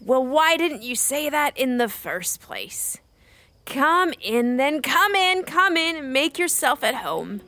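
An actress playing a gruff, folksy old woman hermit in a children's fantasy audio drama. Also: high-pitched, very animated, takes it slowly, bright, forceful tone, exaggerated voice. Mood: welcoming, curious. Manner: crotchety, folksy, theatrical. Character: short, gruff but twinkly old woman hermit Maggie.

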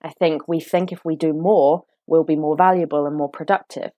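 A woman's voice: sits low, fairly even intonation, mellow, no room sound. British woman speaking in a dry recording. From United Kingdom. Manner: measured, reflective explaining. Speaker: guest, author and coach. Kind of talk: podcast interview.

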